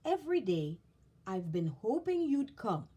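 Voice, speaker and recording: A woman voicing a big, kindly, fatherly male woodcarver in a children's storybook, reassuring and deliberate. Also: a little high, very animated, mellow, husky, voice pushed up, exaggerated voice. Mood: tender, earnest.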